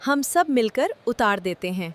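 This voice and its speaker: A woman voicing a kind, caring female vegetable in a children's cartoon, with a gentle, reassuring manner. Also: fairly high pitch, expressive, lightly acted. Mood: kind, earnest. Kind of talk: children's cartoon moral story dialogue.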